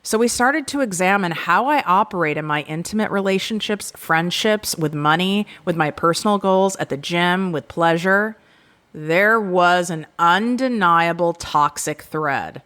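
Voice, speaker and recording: A woman hosting her own podcast, talking frankly and with self-deprecating humor in an energetic solo monologue. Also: on the low side, measured pace, smooth. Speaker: podcast host.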